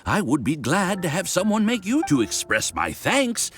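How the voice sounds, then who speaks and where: A man's voice: high-pitched, wildly swooping pitch, normal speed, a bit bright, soft background music, heavily acted. A man voicing an eager, ingratiating landlord in an anime game, effusive and overly polite. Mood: jovial, ingratiating.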